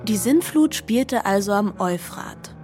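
A woman reading literary narration for radio, measured and articulate. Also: mid-range voice, lively intonation, darkish tone, soft background music.

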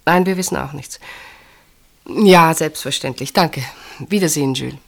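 Guarded, troubled woman, lowish voice. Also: moderate pace, creaky. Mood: worried. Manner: hesitant, evasive, dramatic. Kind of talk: German radio play acting.

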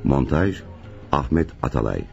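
A man slowly reading the production credits of a radio drama as a scripted announcement. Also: rumbling low, very animated, creaky. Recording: dull radio recording, faint music.

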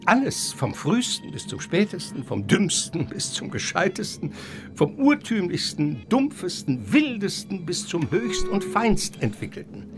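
An actor in a German radio play playing an eccentric, learned professor who lectures in an emphatic, rhetorical way. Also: male voice, sits high, huge pitch swings, a little slow, heavily acted. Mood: emphatic, fervent. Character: eccentric, learned male professor lecturing grandly on life and nature. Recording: radio play with music underneath.

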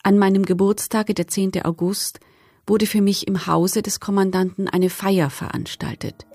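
A woman's voice: mid-range voice, fairly even intonation, normal speed, a little mellow, no room sound, studio quality, lightly acted. A woman playing a former camp prisoner, giving sober, factual testimony in a documentary radio drama. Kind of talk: documentary radio drama, read witness statement.

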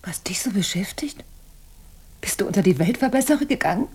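A woman's voice: medium pitch, lively intonation, brisk, darkish tone, husky. A woman playing an elegant, earnest lady in a radio drama, speaking expressively and with feeling. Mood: wry. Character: elegant woman of Spanish descent, earnest and emotional. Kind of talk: German radio drama acting.

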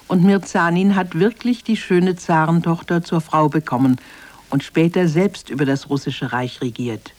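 A man's voice: on the high side, a little mellow. A man narrating a scripted children's radio tale, addressing young listeners directly like a storyteller. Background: music.

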